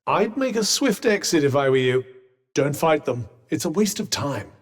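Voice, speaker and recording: A sardonic, condescending British magical armband from a fantasy video game. The voice sits fairly high and swoops expressively through formal, fussily cautious understatement. A long reverb trails every phrase. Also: male voice, sing-song, normal speed. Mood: dry, sardonic. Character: sentient magical vambrace, wry, haughty, fussily cautious male companion. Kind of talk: video game character dialogue.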